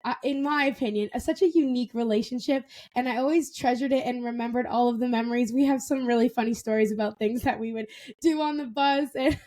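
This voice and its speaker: A young woman hosts a relaxed podcast chat with eager enthusiasm, stressing her words by repeating them. Her voice sits a little high, and she laughs easily between questions. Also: a little fast. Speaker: podcast host. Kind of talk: casual podcast interview.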